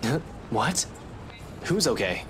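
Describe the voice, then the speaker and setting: A man's voice: medium pitch, big pitch swings, slow pace, fairly bright tone, a little hiss or hum. A man playing an impatient, teasing young man with a casual, brusque manner in a visual novel game. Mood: defensive, casual.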